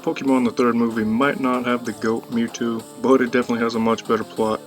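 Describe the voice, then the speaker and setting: A man's voice: mid-range voice, level tone, fast talker. A young man giving a casual review voice-over in a blunt, slangy, profane manner. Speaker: YouTube movie reviewer. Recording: music bed behind voice. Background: background music.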